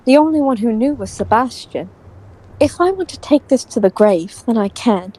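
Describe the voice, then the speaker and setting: A woman's voice: fairly high pitch, animated, normal speed, warm tone, low-quality recording. A woman playing a prickly, guarded young woman who is easily flustered, curt and defensive, in an audio drama.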